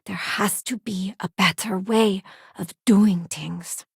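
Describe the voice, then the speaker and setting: A woman's voice: mid-range voice, fairly even intonation, slow, vocal fry, husky, no room sound, exaggerated voice. Game companion: young working-class female spacefarer, principled, frank, conversational. Dry recording. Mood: earnest. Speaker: video game companion character. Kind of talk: video game voice acting, short character lines.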